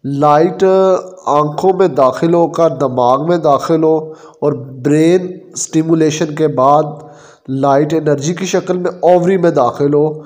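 A man with a Pakistani Urdu accent giving step-by-step poultry advice in a voice-over, pausing often with fillers. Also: on the high side, darkish tone. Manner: explanatory, step-by-step with frequent fillers. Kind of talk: instructional voice-over.

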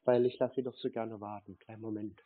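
A man on a prank phone call, stalling and acting slow-witted as he fumbles along with instructions. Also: on the low side, level tone. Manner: stalling, deliberately slow-witted, silly spelling words. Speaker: scam-baiter playing a clueless victim on a phone call. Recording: tinny phone line.

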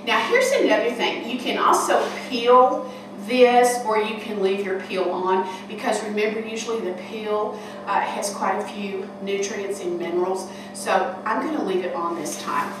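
A woman's voice: medium pitch, moderate pace. An older woman in her sixties hosting a cooking show, chatting homespun tips while she works in a large kitchen hall. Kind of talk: casual cooking demonstration. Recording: echoey room from far off, noisy.

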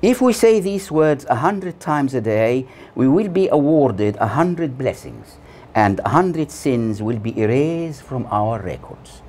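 An older man in his sixties preaches from a prepared text in a solemn manner. His pitch moves widely as he sets out each short phrase deliberately, leaving pauses between them. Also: big pitch swings, darkish tone. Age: 60s. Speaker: preacher delivering a Friday sermon. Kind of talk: scripted sermon read from notes. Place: small plain room indoors. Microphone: lapel mic.

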